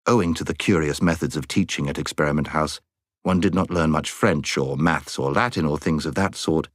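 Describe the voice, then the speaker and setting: A man with an English accent reading a children's novel as an expressive audiobook narrator. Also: low voice, no room sound, clean studio sound. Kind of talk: expressive scripted storybook narration.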